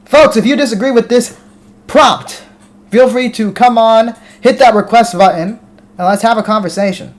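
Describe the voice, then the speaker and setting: A man's voice: very high voice, normal speed. An American man hosting a live stream, reading viewer comments aloud and talking back to them casually, often restarting his words. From United States. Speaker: livestream host reading chat.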